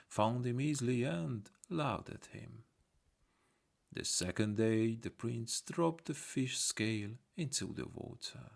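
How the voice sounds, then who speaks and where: A man reading a scripted folktale as an audiobook storyteller, in a measured, simple storybook delivery. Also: medium pitch, gravelly.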